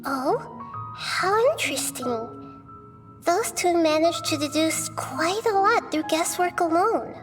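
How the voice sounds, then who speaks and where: Soft patient girl goddess, highish voice, game music humming behind. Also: expressive, slow, heavily acted. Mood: gentle. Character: gentle young-girl goddess, calm and wise. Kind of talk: video game voice acting. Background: game background music.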